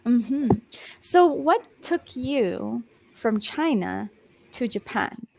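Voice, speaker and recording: Aussie lady tutor on a video call, higher voice, talks slow and patient, lots of ums. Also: expressive, creaky, background noise. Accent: Australian. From Australia. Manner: patient and encouraging, slows down and rephrases, many um fillers. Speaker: online English tutor. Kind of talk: one-on-one language lesson over video call.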